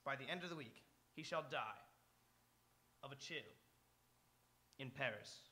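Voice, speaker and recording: A man acting in live radio theatre as a proper, earnest, easily flustered young English gentleman. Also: mid-range voice, a little slow. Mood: exasperated, confiding. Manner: proper, hesitant, nervous.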